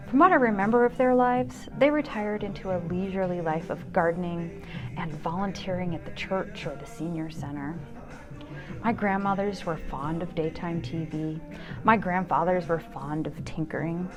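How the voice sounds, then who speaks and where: A woman in her fifties hosting a solo show, narrating a scripted personal essay in a wry, candid manner. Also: on the low side. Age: 50s.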